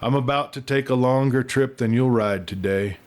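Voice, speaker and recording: A man plays a frail old rancher from a western storybook. He speaks low and hushed in a rustic drawl, tender and resigned, like someone settling his affairs. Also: normal speed, close to an everyday voice.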